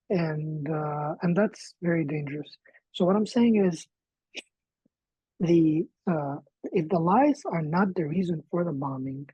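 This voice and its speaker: A woman from Egypt, a guest, arguing her point in a measured, hedging way. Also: lowish voice, dark tone, slightly rough, dry recording, lo-fi sound. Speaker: interview guest. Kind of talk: casual debate.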